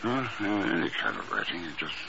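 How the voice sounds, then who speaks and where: An actor playing a cool, terse, world-weary secret agent in a 1950s radio drama, speaking dryly and haltingly. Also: male voice, low voice, wildly swooping pitch, gravelly, pushed and bright, exaggerated voice. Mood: dry, impatient. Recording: muffled, noisy early-1950s radio recording.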